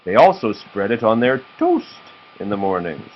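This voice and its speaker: A man's voice: lowish voice, huge pitch swings, moderate pace. A man reading a children's story aloud as a father does, patiently stopping to explain words and answer questions. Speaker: father reading a picture book to his children. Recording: dull home recording with faint noise.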